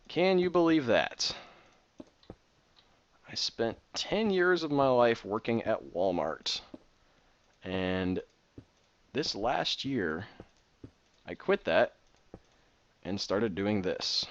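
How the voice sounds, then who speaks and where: Excitable man, very animated delivery. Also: lowish voice, very slow, pauses often, no room sound, highs cut off. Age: 30s. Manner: excitable, rambling. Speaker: Minecraft builder and streamer. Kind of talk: casual commentary while gaming.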